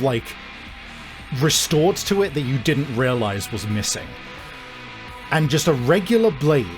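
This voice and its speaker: Male game master, medium pitch, slow halting narration of dark scenes over faint guitar music. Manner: halting, deliberate, searching for words. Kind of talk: improvised narration of a tabletop horror game.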